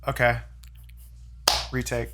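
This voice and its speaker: A man's voice: slow pace, noisy background. A man hosting a casual chat with his wife, playful and rambling, joking around. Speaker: host.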